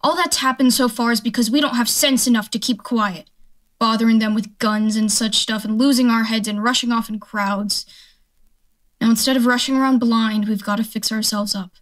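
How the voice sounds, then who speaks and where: A woman's voice: on the high side, steady tone, moderate pace, lightly acted. A woman playing a defiant, survival-minded militia stranger in a radio play, talking in a blunt, folksy, speechifying way. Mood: grim, explaining. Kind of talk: radio drama acting.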